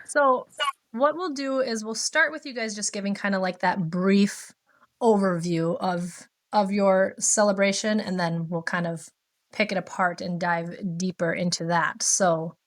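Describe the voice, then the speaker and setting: A woman's voice: medium pitch, fairly even intonation, unhurried. A woman, speaking as a podcast host introducing and interviewing guests.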